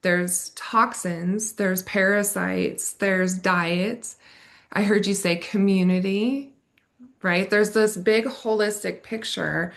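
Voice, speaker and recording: A woman's voice: mid-range voice, fairly even intonation, slow pace, vocal fry. A woman interviewer in a reflective conversation, affirming and summing up what she has heard. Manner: affirming, summarizing what guest said.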